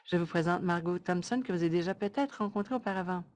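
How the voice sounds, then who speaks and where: A woman interpreting a webinar presentation live, in measured, halting short phrases. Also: on the low side, darkish tone.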